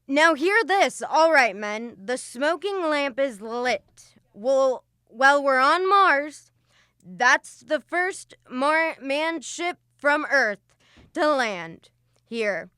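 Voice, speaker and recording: A woman's voice: high voice, expressive, drawn-out words, sharp tone, dry recording, clean studio sound, exaggerated voice. A woman playing a stern spaceship navy captain. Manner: clipped, authoritative orders. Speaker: student radio drama actor. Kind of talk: old-time radio drama acting.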